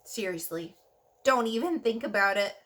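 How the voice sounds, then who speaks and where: A woman voicing an excitable, mischievous little monster from a picture book, playful and exaggerated. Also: medium pitch, moderate pace, a little hiss or hum, voice pushed down, natural voice. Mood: tempted, torn. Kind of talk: animated children's storybook reading.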